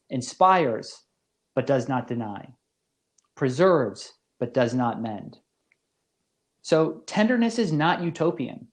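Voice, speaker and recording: A man narrates a scripted lecture in the manner of a professor. His voice is mid-pitched, and his phrasing is formal and deliberate, with careful emphasis on key words.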